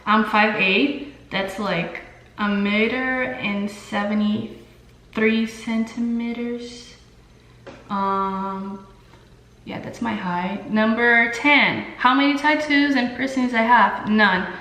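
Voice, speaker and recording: A roomy, echo-heavy home recording of a young woman with a Brazilian accent and a fairly high voice. She talks like a beauty vlogger, chatting casually to the camera. Her delivery is informal and candid, with plenty of slang. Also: slow pace, bright, forceful tone. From São Paulo, Brazil. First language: Portuguese. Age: young adult. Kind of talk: casual chat to camera while doing makeup.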